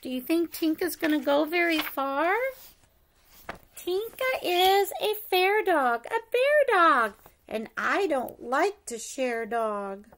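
A middle-aged woman reads a rhyming picture book aloud to young children in an expressive sing-song way, pausing to ask them questions. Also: sits high, background noise. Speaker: preschool story-time teacher reading aloud. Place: living room at home, indoors.

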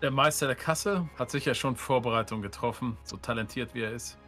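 A sceptical fantasy lord acted by a man, dry and formal. His voice sits at an average pitch, with faint music underneath. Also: a little fast, a bit bright, close to an everyday voice.

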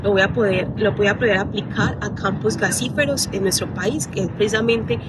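Colombian woman, medium pitch, distant mic, very noisy city outdoors, prepared testimonial. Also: brisk. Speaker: graduate student. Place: busy waterfront outdoors.

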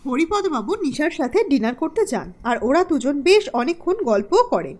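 A woman's voice: sits high, sing-song, brisk, a little mellow. A woman narrating a scripted cartoon story.

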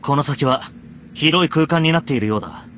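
An actor playing a stern, protective young knight in an anime game, earnest and commanding. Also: male voice, a little high, brisk, slight background noise, natural voice. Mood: composed. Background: loud background music.

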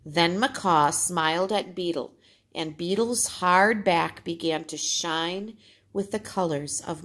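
Slow, husky woman reading a lesson. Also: on the low side. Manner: explanatory, simple phrasing. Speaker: teacher reading aloud. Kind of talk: storytime lesson, reading aloud with explanations.

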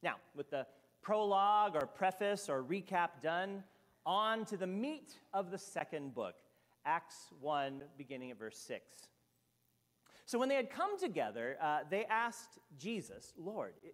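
Man, 50s, pastor, sermon, animated storytelling, high voice, reverberant church hall. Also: unhurried. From Hawaii. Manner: animated storytelling with sweeping gestures and asides. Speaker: senior pastor. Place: church sanctuary at a pulpit.

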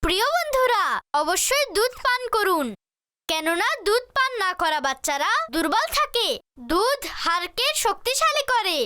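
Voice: very high voice, big pitch swings, normal speed, noisy background. A performer voicing a cheerful, eager little boy in a children's cartoon, exclaiming and instructing young viewers enthusiastically. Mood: eager, instructive.

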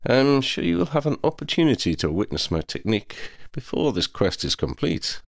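A man's voice: medium pitch, sing-song, normal speed, gravelly, dry recording, clean studio sound, voice pushed up. A man voicing a proud, lordly talking male cat in a storybook, with pompous, formal wording. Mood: grave, self-assured. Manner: pompous, formal wording with Scottish turns of phrase.

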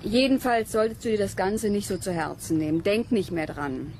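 A woman's voice: medium pitch, moderate pace, darkish tone, slight background noise, natural voice. An actress playing a pragmatic, curious young woman student in a radio drama, composed and matter-of-fact. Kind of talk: German radio drama dialogue.

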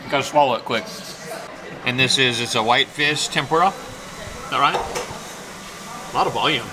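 A man in his fifties hosting a casual food vlog, chatty and playful, reacting loudly as he tastes sushi in a small restaurant. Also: mid-range voice, unhurried, a crowd in the background. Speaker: food vlogger host. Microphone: handheld camera close up. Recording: noisy restaurant room.

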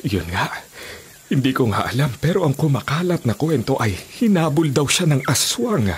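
A man plays an earnest old villager in a radio drama. His delivery is hesitant yet emphatic, with a very animated pitch that rises and falls as he insists on his tales. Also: normal speed, a little hiss or hum, lightly acted. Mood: earnest, insistent. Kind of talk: Filipino radio drama acting. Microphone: studio condenser mic with pop filter.

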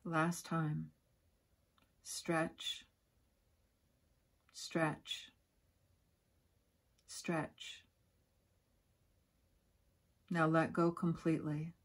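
A woman guiding a yoga nidra relaxation in a soft, breathy, soothing voice, giving gentle instructions with long pauses. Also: low-pitched, completely flat delivery. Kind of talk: guided relaxation meditation.

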